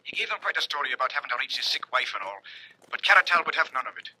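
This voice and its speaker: An actor playing a deferential, eager railway conductor secretly reporting to his boss by telephone in an old-time radio drama, brisk and respectful. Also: mid-range voice, big pitch swings, bright, forceful tone, husky, exaggerated voice. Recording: noisy, thin vintage radio phone-call sound.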